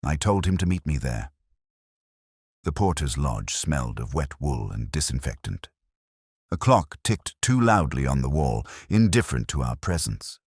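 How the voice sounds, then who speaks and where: Very deep-voiced man narrating a mystery. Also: big pitch swings, normal speed, breathy edge, no room sound, studio quality. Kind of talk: first-person scripted narration of a literary radio mystery.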